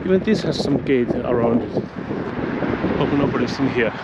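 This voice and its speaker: A man with a Finnish accent, a Finnish native speaker narrating his exploration vlog outdoors, halting as he searches for English words. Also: mid-range voice, expressive. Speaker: urban explorer. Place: outdoors at an abandoned mansion. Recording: loud wind noise on the mic.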